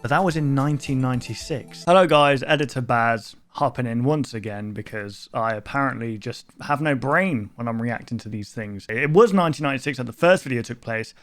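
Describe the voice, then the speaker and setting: A man's voice: medium pitch, dry recording. A man hosting a reaction video, thinking aloud in a casual, rambling way with many fillers and restarts.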